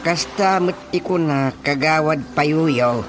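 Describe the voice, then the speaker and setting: An actor playing a practical village chairman with a Filipino accent, explaining things in a measured, matter-of-fact way in a radio drama. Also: on the high side. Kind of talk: Ilocano radio drama acting. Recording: radio drama with music underneath.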